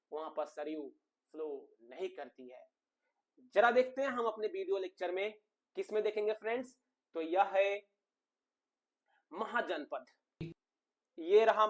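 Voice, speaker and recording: A man with a notably high voice teaches exam material informally. He is emphatic, circles back to repeat key phrases and puts rhetorical questions to his viewers. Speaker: exam coaching teacher and channel host. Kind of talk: informal exam-analysis lecture addressed to viewers.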